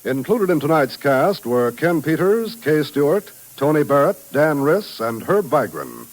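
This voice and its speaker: A man's voice: fairly high pitch, sing-song, a little slow. An American man announcing on 1950s radio, reading a script in a polished, declamatory way. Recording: vintage radio broadcast, faint music.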